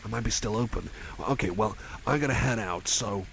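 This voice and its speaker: Man playing a shy cyborg friend, low and gravelly. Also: fairly quick, a bit bright. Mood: casual, sheepish. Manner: hesitant, stammering, reassuring. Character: shy, caring young male cyborg friend, awkward and earnest. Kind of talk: ASMR personal attention roleplay. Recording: faint background noise and ambience.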